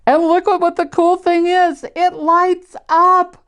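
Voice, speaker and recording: An older woman with an American Midwestern accent reads and chats to children as a storyteller, in simple, deliberate wording with questions to her listeners. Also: extremely high pitch, little pitch movement, fairly bright tone. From Iowa, United States. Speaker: church storyteller reading picture books to children. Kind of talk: children's read-aloud with chatty asides.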